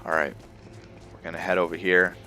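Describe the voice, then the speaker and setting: A man giving casual, somewhat halting commentary over gameplay as the host of a gaming video. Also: deep voice, little pitch movement, pushed and bright, a little hiss or hum.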